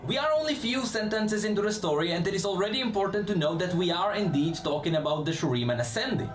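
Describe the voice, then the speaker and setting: A man's voice: sits high, lively intonation, fast talker, soft background music. A man narrating fantasy lore as scripted, dramatic storytelling, with explanatory asides.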